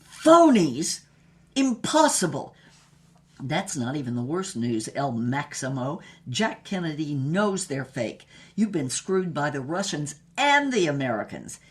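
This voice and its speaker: An actress playing an elderly, worldly film star, wistfully and theatrically recounting scandalous love affairs in an audio drama. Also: female voice, low voice, very animated, a little slow. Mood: dramatic, tense. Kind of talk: dramatic first-person storytelling.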